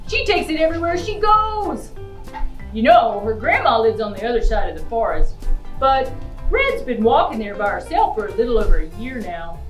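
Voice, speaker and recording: A woman voicing a caring, fussy father in a children's puppet show, in a gentle, folksy, deliberate manner. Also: on the high side, very animated, echoey room, soft background music. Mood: fussing.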